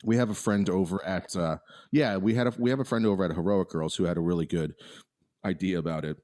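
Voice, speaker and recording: A deep-voiced young man holds forth on a casual podcast. He speaks animatedly and with strong opinions, often restarting his sentences as his thoughts race ahead. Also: quick pace, darkish tone. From United States. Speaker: podcast co-host.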